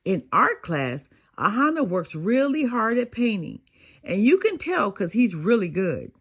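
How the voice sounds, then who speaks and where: A woman's voice: mid-range voice, sing-song, measured pace, breathy edge, exaggerated voice. A woman voicing an earnest, tender-hearted young schoolboy in a children's storybook, speaking in simple phrases. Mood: admiring, eager. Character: earnest, tender-hearted second-grade schoolboy telling his feelings.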